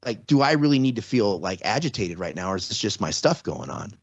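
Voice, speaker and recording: A man's voice: lowish voice, sing-song, fairly quick, no echo. Earnest man explaining, dull dry sound. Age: 40s or 50s. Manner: earnest, explanatory, many restarts. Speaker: podcast guest. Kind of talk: casual interview talk. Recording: slightly dull lo-fi audio.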